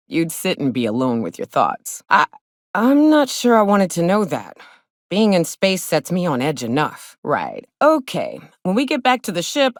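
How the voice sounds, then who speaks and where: Video game companion: hard-drinking wilderness guide, deep female voice, animated delivery, blunt folksy wit. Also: no echo, studio quality. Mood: uneasy, puzzled. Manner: blunt, wry, folksy frontier speech with ain't and mite. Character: gruff, hard-drinking frontier woman, hunter and guide for hire.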